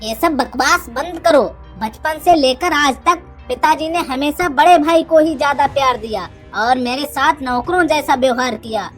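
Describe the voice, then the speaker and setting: Woman voicing a high-pitched, arrogant village headman. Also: moderate pace, few pauses, a bit bright, faint music behind. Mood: angry, spiteful. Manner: boastful, scornful. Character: arrogant, spiteful, cunning rich younger brother, village headman. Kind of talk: Hindi moral cartoon story acting.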